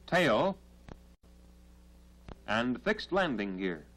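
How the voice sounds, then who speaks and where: An American man narrating a 1940s military training film in a formal, measured, instructional manner, on a period film soundtrack. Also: medium pitch, big pitch swings, a bit bright, low-quality recording.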